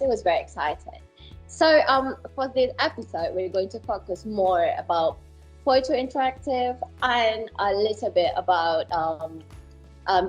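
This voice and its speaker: A woman's voice: on the low side, breathy edge, low-quality recording. A woman hosting a podcast interview, asking questions casually with frequent ums. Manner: hesitant, frequent fillers. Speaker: podcast host.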